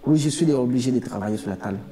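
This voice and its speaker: A man's voice: medium pitch, racing, warm tone. A man with a Haitian accent giving spontaneous interview testimony as an asylum seeker, emphatically repeating his phrases.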